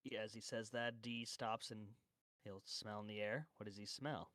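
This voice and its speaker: A man's voice: fairly low pitch, fairly even intonation, normal speed, a bit bright, no room sound. A man casually improvising narration as a player in a tabletop roleplaying game.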